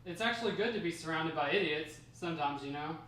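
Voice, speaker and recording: A man's voice: a little high, fast talker, sharp tone. A man in a table read playing a young, candid, bored grandson. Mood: mildly annoyed. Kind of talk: amateur screenplay table read. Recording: echoey room, distant mic, faint noise.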